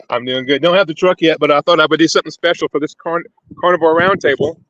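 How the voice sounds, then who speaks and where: A man's voice: fairly high pitch, fast, fairly bright tone, dry recording. A man, a panel guest, explaining casually and plainly, often checking in with the listener. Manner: plain-spoken, checks in with "right?". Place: parked vehicle in a parking lot. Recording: dry, dull lo-fi call audio.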